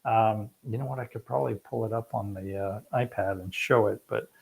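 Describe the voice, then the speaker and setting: A man's voice: on the low side, fairly even intonation, brisk, creaky, a little mellow, rough recording. Blunt casual male doctor host. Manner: blunt, laughs easily, casual. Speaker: fertility specialist host. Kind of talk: live Q&A chat.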